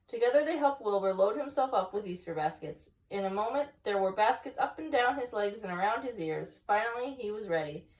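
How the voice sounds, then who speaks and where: A woman's voice: medium pitch, animated, a little fast, few pauses, darkish tone, distant mic, poor audio. A woman reading a children's picture book aloud expressively, with chatty asides to young listeners. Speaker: story time reader, teacher.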